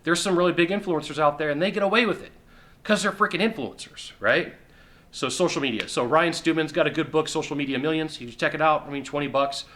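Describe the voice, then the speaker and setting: Middle-aged guy coaching sales from his couch, bright forceful voice, punchy and repetitive. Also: fairly high pitch. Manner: emphatic, repetitive, uses quick acted-out asides. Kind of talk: direct-to-camera advice talk. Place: indoor living room on a sofa.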